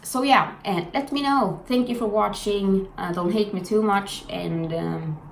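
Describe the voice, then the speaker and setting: A woman's voice: medium pitch, measured pace, gravelly. Frank Swedish-accented young woman. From Sweden. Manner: frank, blunt, earnest. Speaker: vlogger. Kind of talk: candid personal commentary. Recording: echoey room with faint noise.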